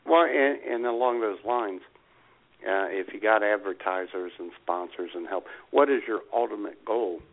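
A male co-host with a fairly low voice asks questions in a dry, teasing way. His sound is thin and phone-like. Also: normal speed. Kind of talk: casual radio interview.

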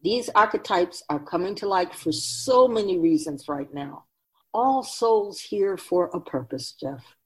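A woman's voice: on the low side, expressive, a little slow, low-quality recording. A woman playing a wise otherworldly keeper of souls in a radio drama, teaching humanity in an emphatic, oracular manner with rhetorical questions. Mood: solemn, instructive. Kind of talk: radio drama dialogue, lecturing.

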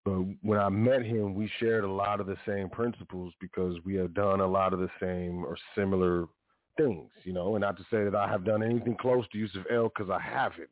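Deep-voiced man on a tinny line. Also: fairly even intonation, fairly quick, no room sound. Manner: rambling, frequent self-corrections and "you know". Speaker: podcast host. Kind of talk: casual monologue. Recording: dry, phone-like narrow sound.

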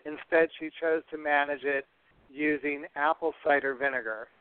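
A man's voice: a little high, fairly even intonation, normal speed, clean tone, no echo, narrow, tinny audio. A man hosting a podcast in an upbeat, scripted presenting style. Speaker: podcast host.

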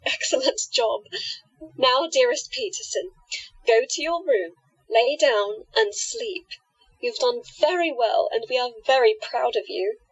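A high-voiced, commanding yet affectionate enchantress acted by a woman in a radio play. Her pitch moves in lively swoops as she gives out hypnotic orders and endearments. The sound is slightly muffled. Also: a little slow, husky. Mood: amused, fond. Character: confident, affectionate otherworldly woman with hypnotic powers. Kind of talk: audio drama acting.

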